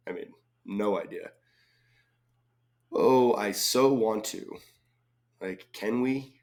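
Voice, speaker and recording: A man with a lowish voice, a little hoarse from being sick, talks slowly and unhurriedly. He reads clues aloud and muses over his guesses in a relaxed, thinking-aloud way. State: slightly hoarse from a cold. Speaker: crossword solving video host. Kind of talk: casual live solve, thinking aloud.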